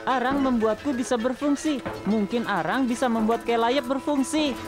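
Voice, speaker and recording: A woman's voice: a little high, normal speed, over music, natural voice. A woman voicing an earnest, hardworking young male steam engine in a children's cartoon dub, eager, determined and polite. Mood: hopeful, determined.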